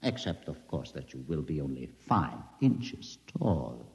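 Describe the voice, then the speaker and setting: Mad scientist for vintage radio horror: formal, coldly polite menace, extremely animated pitch, long reverb. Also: male voice, measured pace, creaky, full character voice. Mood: gloating. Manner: formal, mock-courteous. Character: menacing mad scientist, coldly courteous, toying with tiny captives. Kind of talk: 1950s radio acting.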